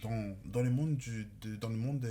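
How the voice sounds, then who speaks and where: A man's voice: mid-range voice, measured pace, mellow. A man in his twenties chatting casually as a podcast guest, rambling and slangy, dropping in English words. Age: 20s. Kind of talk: casual chat.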